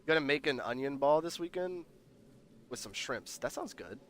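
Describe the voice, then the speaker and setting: A man's voice: a little high, animated, normal speed, a little hiss or hum. A man hosting a livestream, chatting casually and rambling while reading the chat aloud, congested and nasal. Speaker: livestream host.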